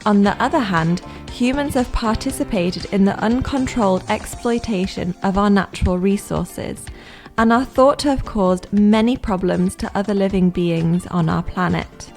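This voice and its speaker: Brief: female narrator, scripted voice-over, measured and even, mid pitch, faint music bed.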